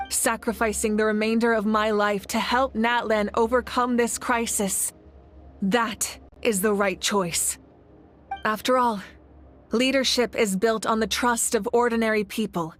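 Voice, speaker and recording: A woman's voice: fairly high pitch, lightly acted. A woman playing a resolute, selfless young leader in a video game, earnest and firm. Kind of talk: scripted video game voice acting.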